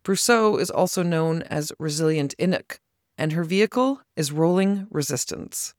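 A news reporter reading a scripted report and putting measured questions to a guest. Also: mid-range voice, expressive, dry recording, clean studio sound. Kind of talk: scripted news narration and interviewing.

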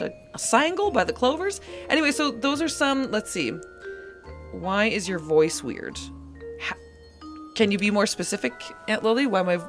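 A woman hosting a live community radio show, chatting playfully and drifting off topic. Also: low-pitched. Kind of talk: casual live radio chat. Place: local community radio studio.

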